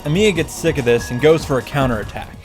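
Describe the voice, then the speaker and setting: A man's voice: medium pitch, lively intonation, quick pace. A man narrating a story recap in a casual, wry way with dramatic emphasis. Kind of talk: casual scripted narration of a visual novel recap. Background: background music.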